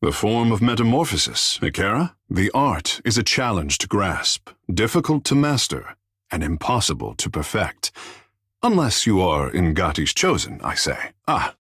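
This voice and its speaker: A man playing a proud, vain young godlike islander sea mage in a fantasy video game, speaking in lofty, flowery, formal phrases with a husky, breathy voice. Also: fairly low pitch, big pitch swings, normal speed, fairly bright tone, no room sound, clean studio sound, heavily acted. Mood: lofty, reverent. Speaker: companion character in a fantasy game. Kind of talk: theatrical video game dialogue.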